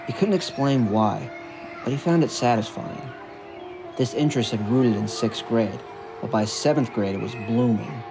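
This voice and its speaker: A man reading a scripted story narration in a measured, earnest way. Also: mid-range voice, noisy background. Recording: music bed under the narration. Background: background music.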